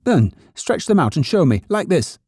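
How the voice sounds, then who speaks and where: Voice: medium pitch, sing-song, normal speed, a little mellow, breathy edge, no echo, studio quality, pitched above the performer's own voice. A narrator voicing a brash, teasing young male wild rabbit in a children's storybook, blunt and challenging.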